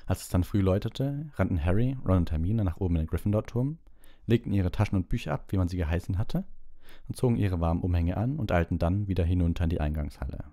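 Casting: male audiobook narrator, deep pitch, soft dark timbre, scripted storytelling. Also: expressive, fast talker, dry recording, clean studio sound.

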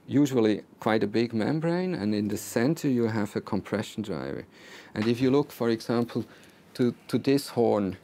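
A man in his sixties presenting technical material to a small group, phrasing deliberately with repeated words and hesitations. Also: fairly low pitch, dark tone. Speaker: loudspeaker designer presenting. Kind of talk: technical talk to a small audience. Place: small recording studio control room with seated audience.

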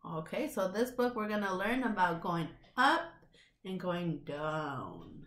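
Slow-paced young woman teacher, roomy echo. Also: lowish voice, big pitch swings, a bit bright. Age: young adult. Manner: simple, encouraging prompts and repetition of a child reader. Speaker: teacher hosting a children's read-aloud. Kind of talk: simple guided read-along talk to young children.

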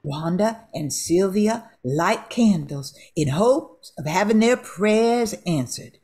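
A woman's voice: medium pitch, expressive, slow pace, dark tone, husky. An older woman reading scripted narration for an amateur radio drama.

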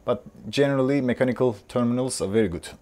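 A man's voice: mid-range voice, quick pace, warm tone. A man in his forties, a rigger, listing inspection points in short broken phrases, recorded on a lapel mic in a workshop. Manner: non-native, fragmented phrasing, listing checks. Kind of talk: technical interview. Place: workshop, indoors.

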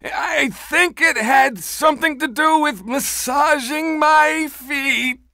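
Casting: senile old game superhero, slow, piercingly bright, forgetful and crotchety, very dry close recording. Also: male voice, extremely high pitch, no echo, full character voice. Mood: confused, forgetful. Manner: doddering, befuddled, exclamatory. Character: doddering, forgetful, cranky old retired superhero. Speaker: video game voice actor. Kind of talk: cartoon video game character acting.

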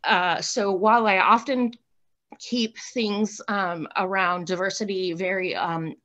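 A woman's voice: medium pitch, fairly even intonation, a little slow, a bit bright. Hesitant woman on a dull video call. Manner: hesitant, many fillers and restarts, thoughtful. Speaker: workshop participant. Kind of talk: sharing a reflection in discussion.

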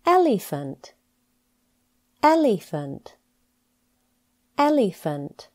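A woman English teacher reading scripted pronunciation practice with deliberate, careful enunciation. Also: medium pitch, sing-song. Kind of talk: scripted lesson narration, reading words for practice.

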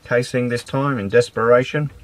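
A man's voice: medium pitch, fairly even intonation, normal speed, poor audio. A man in his fifties with an Australian accent, chatting casually to his own camera with lots of "uh" fillers. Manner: rambling, many "uh" fillers, laid-back. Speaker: sailing vlogger. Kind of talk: casual self-filmed commentary. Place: outdoors on a windy beach and on a small sailboat. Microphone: camera mic, handheld or mounted.

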